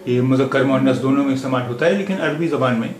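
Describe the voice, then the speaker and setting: A man giving a language lesson as a teacher, repeating phrases deliberately in a didactic way. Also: medium pitch, a little mellow. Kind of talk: spoken language lesson with slow repetition. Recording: echoey room with clear background noise.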